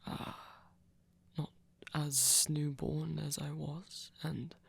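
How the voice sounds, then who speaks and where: Performer acting a breathy, flirty vampire fledgling, low and slow. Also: little pitch movement, exaggerated voice. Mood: amused, hesitant. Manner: formal, careful phrasing, teasing. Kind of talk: breathy ASMR roleplay acting.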